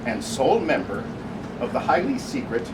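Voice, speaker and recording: A man's voice: mid-range voice, sing-song, moderate pace. A man reading a humorous tribute speech at a celebration, with wry, joking asides. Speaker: speech reader at a birthday celebration. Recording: distant mic, loud room noise.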